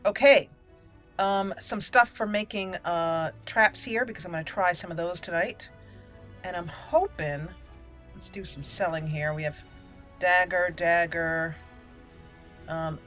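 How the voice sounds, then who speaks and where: A woman's voice: on the low side, normal speed, fairly bright tone, soft background music. A woman giving casual gameplay commentary, rambling and thinking aloud as she plays. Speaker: gameplay host.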